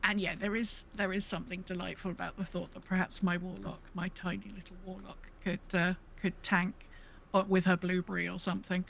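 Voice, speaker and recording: A woman's voice: lowish voice, level tone, fairly quick, vocal fry. A woman co-hosting a podcast in a casual gaming discussion, chatty and tentative as she restates her points. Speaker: podcast co-host. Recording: faint background noise.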